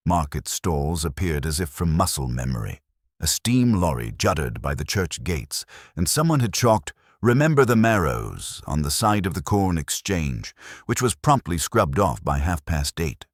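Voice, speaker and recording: Very deep, husky male storyteller. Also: big pitch swings, normal speed, no room sound, studio quality. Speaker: narrator. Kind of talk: scripted narration of a mystery story.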